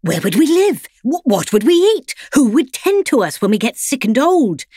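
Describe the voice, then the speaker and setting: A woman's voice: high voice, sing-song, moderate pace, dark tone, a little hiss or hum, pitched above the performer's own voice. A woman voicing an eager, dutiful male pet dog who argues for staying with the owners. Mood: placating. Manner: placating, sensible.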